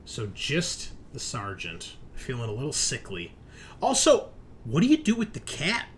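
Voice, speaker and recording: A man's voice: mid-range voice, huge pitch swings, measured pace. Casual male game master, noisy recording. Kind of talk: casual tabletop game narration. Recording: noticeable background noise.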